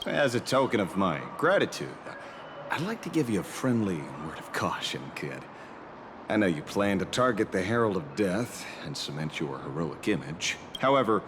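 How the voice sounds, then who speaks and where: A man's voice: lowish voice, background noise. An actor playing a theatrical, slightly awkward male detective with a vengeful streak in a video game. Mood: solemn warning. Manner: theatrical, swings from grave and measured to flustered stammering. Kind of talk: dramatic video game character acting. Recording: background music under dialogue.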